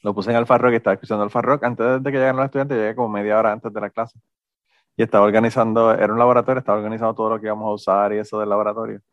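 A man's voice: on the low side, little pitch movement, very fast, dry recording, muffled recording. A man with a Puerto Rican accent chatting casually, joking and swearing loosely as he tells stories. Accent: Puerto Rican Spanish. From Puerto Rico. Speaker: former university instructor. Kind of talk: casual chat.

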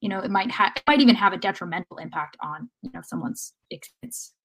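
A woman's voice: medium pitch, lively intonation, quick pace, fairly bright tone, husky. A woman co-hosting a casual sports discussion. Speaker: podcast co-host.

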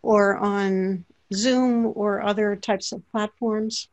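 A woman hosting an interview, asking questions in a steady, measured way. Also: medium pitch. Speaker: interviewer, ghostwriter. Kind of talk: conversational interview.

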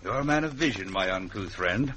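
An actor playing a suave, cold-blooded English gentleman gunslinger in an old-time radio western, with pompous, mock-genteel formal speech. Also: on the low side, animated, brisk. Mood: smug, mock-genteel. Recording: noisy vintage radio recording.

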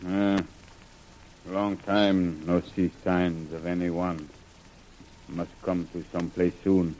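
A cautious, loyal male guide in a vintage radio adventure. He speaks low, in measured, clipped broken English. Faint noise runs under the old recording. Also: flat delivery, darkish tone, rough recording. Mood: wary. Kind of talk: 1940s radio serial acting.

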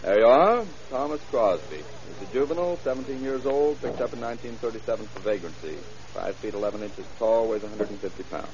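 An actor in a 1940s-style radio drama playing a wisecracking, grumbling police fingerprint expert with a sardonic manner. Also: male voice, fairly low pitch, lively intonation, crowd noise, natural voice. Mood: wry. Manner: wry, sardonic, drawn-out teasing. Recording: hissy, muffled old radio recording.

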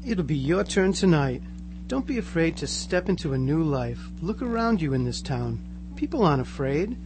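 Over clear noise and hum comes a man playing a teenage small-town boy in a live radio drama, blissfully converted and eerily placid. His highish pitch moves in wide, lively swings as he reassures with gushing earnestness. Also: normal speed.

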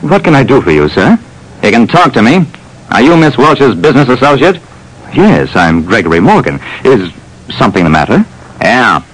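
A man playing a brisk, self-assured private detective who narrates an old radio drama. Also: medium pitch, sing-song, slightly rough, rough recording. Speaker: narrator and lead. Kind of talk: 1940s radio acting.

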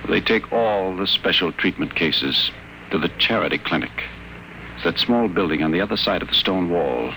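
A wary, deep-voiced patient. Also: male voice, lively intonation, normal speed, pushed and bright, slight background noise, full character voice, rough recording. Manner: guarded, low-key, confiding. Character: wary adult male addict patient, guarded and secretive. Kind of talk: 1940s radio acting.

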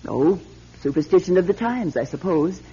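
An actress playing an earnest, insistent older woman who appraises antiques in a classic radio drama, with a raspy voice. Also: on the low side, animated, normal speed, dark tone. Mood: knowing, matter-of-fact. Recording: dull, lo-fi old radio recording.

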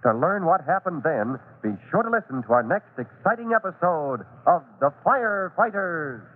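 A man's voice: fairly high pitch, expressive, normal speed. A man narrating an old radio serial as its announcer, reading scripted copy. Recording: tinny, noisy vintage radio recording.

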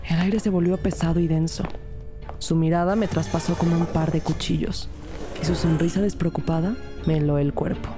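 A woman playing a wary, steely police detective who narrates her case, grave, measured and direct. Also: fairly low pitch, steady tone, natural voice. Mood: grave, watchful. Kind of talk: dramatic first-person narration and dialogue. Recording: music bed under the voice.

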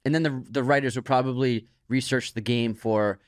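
A man's voice: medium pitch, steady tone, normal speed, dry recording, clean studio sound. A man co-hosting a podcast in a casual, conversational discussion style. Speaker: podcast co-host.

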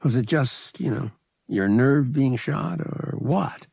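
Man as a casual, slangy human pilot, gravelly. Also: mid-range voice, takes it slowly, a little mellow, dry recording, pitched above the performer's own voice, full character voice. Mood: sympathetic, casual. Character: young human male pilot, cocky, sympathetic, slangy.

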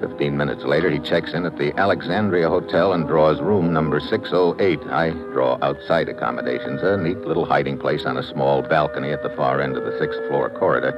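An actor playing a wry, tough American government agent in a clipped, wisecracking old-time radio detective style. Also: male voice, a bass voice, brisk, few pauses. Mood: dry, matter-of-fact. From United States. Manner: clipped, wisecracking, hard-boiled. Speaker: lead actor and narrator. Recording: muffled vintage radio recording, music behind.

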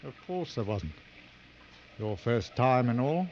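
Veteran hunting guide, medium-pitched, clipped authority, coolly sardonic, old radio drama, muffled sound. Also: male voice, sing-song, slow pace, darkish tone. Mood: dry, sardonic. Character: seasoned, commanding male big game hunting guide, coolly sardonic. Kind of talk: mid-century American radio drama acting. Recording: dull old radio recording, noisy.

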